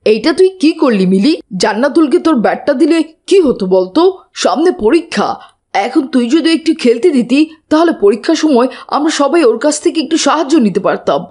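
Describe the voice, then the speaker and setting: A husky, fairly high cartoon schoolboy voice played by a woman. He sounds sensible yet mocking, chiding. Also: lively intonation, a little fast, darkish tone. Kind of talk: scripted cartoon dubbing.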